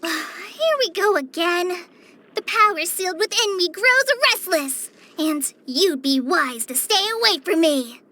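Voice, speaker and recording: A woman's voice: very high voice, exaggerated voice. A woman voicing a shy, earnest teenage forest ranger girl in a video game, hesitant, apologetic and kind. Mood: embarrassed, exasperated. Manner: hesitant, apologetic, soft-hearted. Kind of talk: video game character voice lines.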